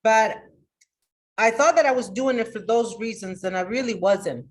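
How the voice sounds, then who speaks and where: A woman life coach giving a live motivational talk to her online group. She talks energetically and emphatically, with many restarts, and moves between loud greetings and a soft, confiding tone. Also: a little high, moderate pace, a little hiss or hum.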